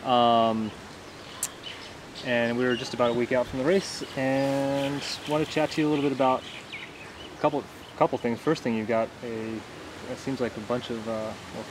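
A man in his thirties chats as an interviewer in a loose, easygoing way, with halting phrasing. He sounds a little away from the mic, outdoors, with clear ambient noise around him. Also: mid-range voice, steady tone. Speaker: interviewer, host. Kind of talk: casual interview chat. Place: outdoors on a garden bench. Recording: noisy outdoor recording, slightly distant mic.

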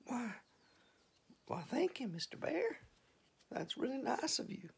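A tiny, happy-go-lucky bunny from a children's storybook, squeaky and plaintive. The voice leaps up high and swoops around, with a husky, gravelly grain underneath. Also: male voice, very animated, slow, full character voice. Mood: grateful. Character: happy-go-lucky little male rabbit.

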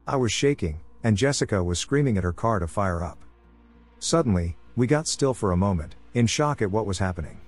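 A man with a fairly low voice reads scary first-person stories in a measured, deliberate way. He pauses for effect between short lines. Faint music plays under his voice. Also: a little mellow. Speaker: narrator. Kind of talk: scripted horror story narration.

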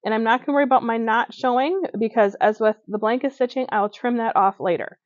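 A woman's voice: fairly high pitch, fast, no echo, lo-fi sound. Woman demonstrating stitching. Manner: talks while working, with fillers and small self-corrections. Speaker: stitching instructor. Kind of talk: hands-on craft demonstration. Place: indoors at a table.

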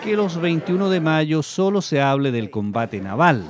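A man with a Chilean accent narrating a regional television news report in a measured, scripted way. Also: fairly high pitch, very animated, crowd noise. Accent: Chilean Spanish.

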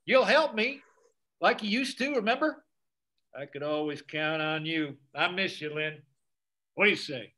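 Manipulative old dad, coaxing, evasive, bright forceful voice. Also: male voice, on the high side, expressive, unhurried, pauses often. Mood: wheedling. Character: manipulative, wheedling estranged old father. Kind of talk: table read acting. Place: indoors, on a video call.

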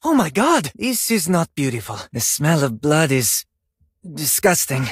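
A man's voice: fairly high pitch, very animated, unhurried, slightly rough, no echo, studio quality, full character voice. A man voicing a vain, graceful Spanish male ballet dancer for a video game, theatrical and self-admiring, with a Spanish accent. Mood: dramatic. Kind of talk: video game character voice lines.

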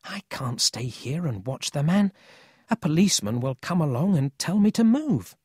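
A narrator voicing an earnest, anxious young country boy in a children's storybook, quick to stand up for himself. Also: mid-range voice, sing-song, darkish tone, breathy edge, dry recording, studio quality, voice pushed up. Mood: anxious, careful.

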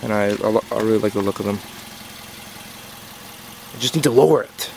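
A man's voice: on the low side, brisk, gravelly. A young man from Canada talking casually in a car vlog, loose and rambling with lots of ums and restarts. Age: young adult. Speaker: vlogger. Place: inside a small car, later outdoors in a snowy lakeside parking lot.

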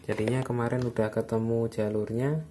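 A man narrates a casual hands-on tutorial in an informal, unscripted, halting way, recorded indoors at a workbench. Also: medium pitch, little pitch movement, dark tone. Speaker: electronics repair hobbyist giving a tutorial. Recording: background noise, phone or camera microphone.

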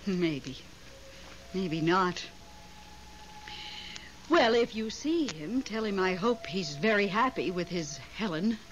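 A woman's voice: mid-range voice, lively intonation, slow pace, pauses often. A woman playing a devoted, insistent middle-aged widow with an emotional, theatrical delivery in a 1970s radio drama. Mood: resigned. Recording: dull, noisy old radio broadcast recording.

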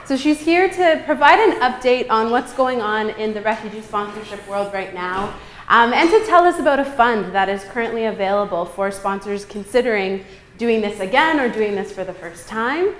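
A woman with a fairly high voice introduces a speaker in a meeting room. She sounds upbeat and uses a few filler sounds, and the room adds a strong echo with faint noise behind her. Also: animated, normal speed. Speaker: event host.

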